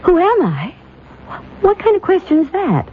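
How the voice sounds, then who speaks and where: A woman's voice: very high voice, wildly swooping pitch, unhurried, exaggerated voice. A woman playing an anxious, protective wife in a radio drama, emotional and insistent. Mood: hurt, indignant. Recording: tinny vintage radio, noisy background.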